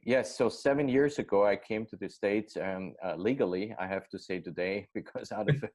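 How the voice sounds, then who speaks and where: Austrian-accented man, halting speech. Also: lowish voice, darkish tone. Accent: Austrian German. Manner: hesitant, many fillers and restarts. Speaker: guest. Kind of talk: casual interview.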